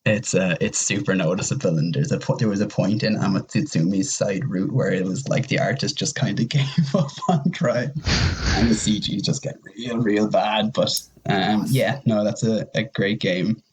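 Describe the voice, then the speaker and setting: A man's voice: fairly low pitch, moderate pace, breathy edge, low-quality recording. A man chatting casually as a podcast guest, rambling enthusiastically and piling up intensifiers as he recommends things. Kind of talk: casual chat, recommending games.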